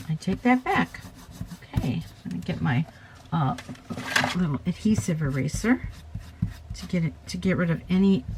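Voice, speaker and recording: A woman with a slight hoarseness from a cold, chatting her way through a paper craft tutorial at her table and correcting herself as she goes. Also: lowish voice, noisy background. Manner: chatty, talks while working, self-correcting.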